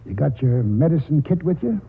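A wily old American grandpa rambles in folksy rural talk, stubborn as can be. His husky voice rides high and swoops around, heard through a muffled 1940s radio broadcast. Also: big pitch swings, fairly quick, heavily acted. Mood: stubborn, bargaining. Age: older. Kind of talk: 1940s radio drama acting.

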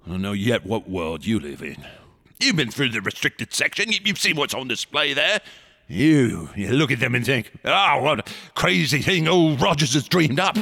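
An actor in a radio drama playing a fanatical, temperamental artist, rambling and stammering with feverish intensity in a gravelly, raspy voice. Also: male voice, medium pitch, very animated, normal speed, pushed and bright, full character voice. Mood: feverish zeal. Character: fanatical, temperamental male artist of monstrous museum exhibits.

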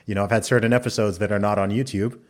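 A man who grew up in Saudi Arabia, hosting a podcast interview and talking in a measured, reflective way through long arguments. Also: lowish voice, fairly even intonation. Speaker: podcast host. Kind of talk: conversational interview.

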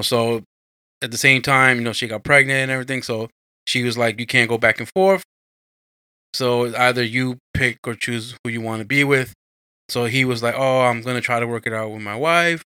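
A man's voice: medium pitch, fairly even intonation, normal speed, pushed and bright, clear, resonant tone, no room sound, studio quality. A man from the United States hosting a podcast in a casual, chatty style. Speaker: podcast host. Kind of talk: casual chat.

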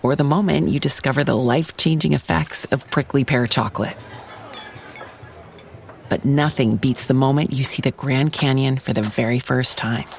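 A man's voice: mid-range voice, moderate pace, vocal fry, a little hiss or hum. A man reading a scripted advertising voice-over as an announcer.

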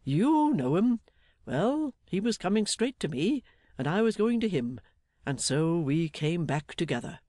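A man voicing a jolly, blustering older English gentleman in an audiobook, fatherly, emphatic and effusive. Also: high-pitched, big pitch swings, a little slow, no room sound, studio quality. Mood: jovial, bustling. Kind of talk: dramatised audiobook character.

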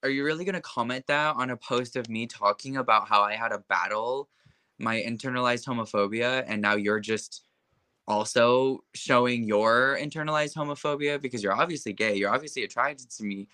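A man's voice: medium pitch, fairly quick, pushed and bright, no room sound. A young man being interviewed in casual conversation. He speaks with impassioned conviction and leans heavily on filler words. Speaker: interview guest.

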